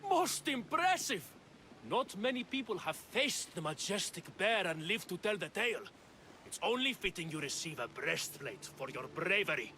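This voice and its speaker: An excitable, swooping, theatrical fellow. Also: male voice, sits high, normal speed, slight background noise, full character voice. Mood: awed, impressed. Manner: grandiose, exclamatory. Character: excitable, theatrical man awed by fearsome beasts. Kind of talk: video game cutscene acting.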